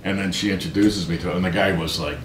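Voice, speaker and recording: A middle-aged American man with a deep voice tells anecdotes casually as an interview guest. He is chatty and self-deprecating, often checking that the listener follows. The recording is roomy and echoey, with faint low rumbling noise. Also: steady tone, quick pace. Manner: self-deprecating, chatty, peppers talk with 'right?' and 'you know'.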